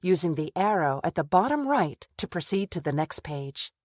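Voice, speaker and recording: A woman's voice: on the low side, very animated, moderate pace, darkish tone, no echo, clean studio sound. A woman playing a training coach, giving scripted instructions in a didactic manner. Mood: instructive. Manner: clear, didactic.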